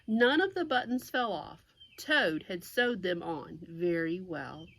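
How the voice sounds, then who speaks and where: A woman's voice: medium pitch, fairly bright tone. A woman reading a children's storybook aloud as a teacher would, with simple explanatory asides for young listeners.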